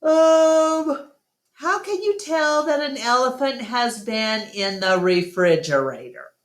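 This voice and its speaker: A woman's voice: high-pitched, lively intonation, takes it slowly, reverberant, voice pushed up. A woman voicing a patient, easygoing adult male gorilla in a storybook, with a hesitant, explaining manner.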